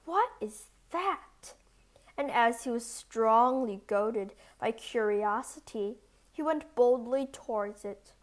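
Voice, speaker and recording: A woman's voice: fairly high pitch, slow. A woman storyteller narrating a scripted fairy tale for children.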